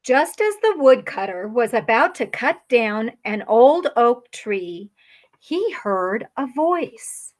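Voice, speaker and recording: A woman's voice: a little high, big pitch swings, takes it slowly. A woman teacher narrating a children's storybook tale from a script.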